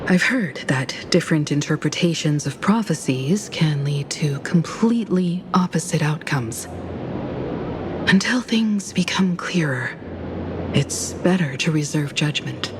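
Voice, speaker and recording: A woman playing a poised, protective crew leader in a video game, with a calm, tactful way of speaking. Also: low voice, a little slow, background noise. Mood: calm, considered. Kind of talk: scripted video game voice acting. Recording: loud music behind the voice. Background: game music.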